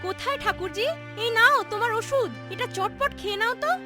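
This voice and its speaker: A woman's voice: extremely high pitch, fairly quick, music in the background. A woman voicing a polite, helpful young sister-in-law in a fairy-tale cartoon. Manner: attentive, helpful.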